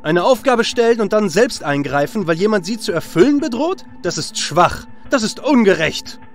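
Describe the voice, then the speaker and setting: Man playing a brash high-pitched warrior hero. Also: lively intonation, normal speed. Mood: indignant, defiant. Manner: brash, indignant, plain-spoken. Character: bold, defiant male warrior hero, son of Mars. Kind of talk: audio drama character. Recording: music under the voice.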